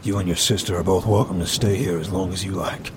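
A man voicing a kindly old pack leader who is calm and protective. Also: low-pitched, fast, noisy background, natural voice. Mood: firm. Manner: calm, firm.

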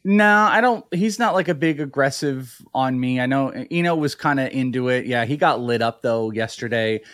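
A man's voice: mid-range voice, a bit bright, dry recording, clean studio sound. A man co-hosting a sports podcast, chatting casually, teasing his partner and reeling off stats. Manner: teasing, quick, stat-heavy analysis. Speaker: podcast co-host.

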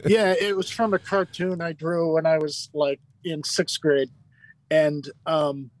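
A man's voice: on the high side, level tone, a little slow, dry recording, rough recording. A man guest on a casual podcast, speaking in an easygoing, hesitant way.

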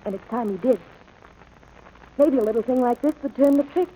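An actress playing a patient, persuasive ranch wife and mother in 1940s radio drama style, gently insistent. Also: a little high, fairly quick, lightly acted. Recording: noisy old radio broadcast.

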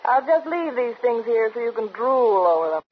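Cheeky secretary, high, lively pitch. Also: female voice, normal speed. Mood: gleeful, efficient. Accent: American. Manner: teasing, sing-song, wisecracking. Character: cheeky, smug, nosy young woman secretary. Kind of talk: 1950s radio drama comic sidekick acting. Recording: dull old radio recording, slight noise.

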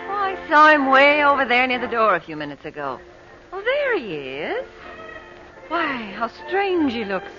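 A woman playing a gentle, observant, uneasy mother in an old-time radio drama, speaking in a genteel, measured way. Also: high voice, huge pitch swings, heavily acted. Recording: dull old radio recording with music behind.

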